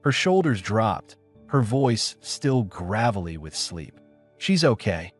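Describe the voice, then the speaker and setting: A man's voice: on the low side, expressive, slow, soft background music. A man reading a dramatic scripted story as an audiobook narrator.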